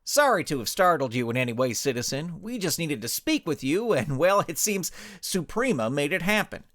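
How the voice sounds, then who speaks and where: A man voicing a forceful, indignant cowboy superhero with a folksy drawl in a story. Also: on the high side, very animated, moderate pace. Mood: folksy, firm. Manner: forceful, shouting. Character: blustering indignant cowboy superhero, the league's leader.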